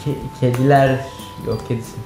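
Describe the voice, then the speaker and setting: A man's voice: medium pitch, level tone, moderate pace, mellow. A young man chatting casually while playing a guessing game on a living-room sofa. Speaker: player in a family guessing game. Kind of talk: casual chat. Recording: echoey living room, music underneath.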